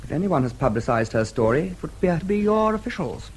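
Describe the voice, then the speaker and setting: An actor playing a composed, protective brother in a radio drama, clipped and polite but firm. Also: male voice, a little high, very animated, fast talker, a little hiss or hum, poor audio. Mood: politely defiant. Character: crisp, protective brother, a composed gentleman standing his ground.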